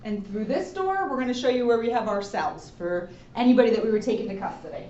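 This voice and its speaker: A woman's voice: on the high side, lively intonation, quick pace, dark tone. A woman police officer giving an informal guided tour, explaining plainly and addressing viewers directly, recorded indoors from a distance. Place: indoor rooms and hallways of a police station. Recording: roomy, muffled, noisy, distant camera microphone.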